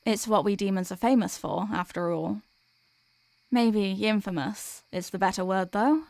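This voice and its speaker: A woman playing a gentle, protective sleep paralysis demon in an ASMR comfort roleplay, speaking in a soothing, tender, reassuring way. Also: mid-range voice, unhurried, creaky, no echo.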